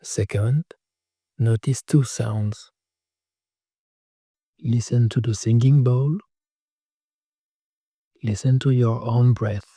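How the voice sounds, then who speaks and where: Male guided-meditation voice: soothing, slow and measured, soft-edged husky tone, dry close sound. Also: medium pitch, steady tone, no room sound. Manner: soothing, measured short phrases. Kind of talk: scripted guided meditation, calming instructions. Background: singing bowl tones.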